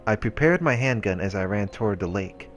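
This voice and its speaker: Low voiced guy reading a visual novel out loud for a lets play, game music behind him. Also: expressive, a little fast. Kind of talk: reading game narration aloud with casual asides.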